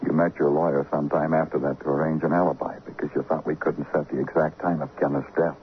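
A man's voice: rumbling low, little pitch movement, fast, slight background noise. A man playing a hard-boiled, brooding New York police detective in an old-time radio crime drama. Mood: grim, accusing. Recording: muffled vintage radio recording with music.

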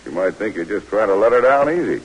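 An actor playing a brisk, stern but caring American army lieutenant in an old radio drama, blunt and commanding yet coaxing, on a hissy old recording. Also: male voice, lowish voice, animated, natural voice, rough recording.

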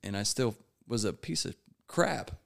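A man's voice: mid-range voice, lively intonation, a little slow, gravelly, a bit bright. A man who is a guest on a casual podcast, speaking candidly and earnestly about his own life. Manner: candid and earnest, talks openly about himself.

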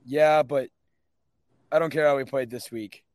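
A man's voice: mid-range voice, steady tone, unhurried, a little mellow, no room sound. A man co-hosting a sports podcast in a casual, slangy conversational way. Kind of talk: casual, slangy football chat.

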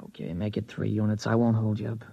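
An actor playing a sly young hotel bellhop with a husky voice and slangy, furtive talk, in 1950s radio drama style. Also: male voice, on the low side, fairly even intonation, quick pace. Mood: sly, chummy. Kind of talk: 1950s science fiction radio acting. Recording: dull vintage radio recording.

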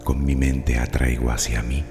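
A man leading a guided meditation in Spanish affirmations, speaking calmly and slowly with soothing pauses over soft music. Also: a bass voice. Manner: calm, slow, soothing delivery with long pauses.

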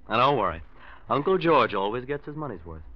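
An actor playing a scheming, hypocritical nephew who feigns friendly concern, in 1940s radio drama style. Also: male voice, mid-range voice, big pitch swings, moderate pace. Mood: insinuating. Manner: falsely casual, insinuating. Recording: dull old radio recording.

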